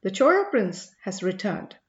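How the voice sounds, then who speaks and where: A woman voicing an earnest, commanding male sage in an audiobook, speaking in a measured, emphatic way. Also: medium pitch, big pitch swings, echoey room, highs cut off, close to an everyday voice. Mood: grave, intent. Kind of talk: audiobook character dialogue.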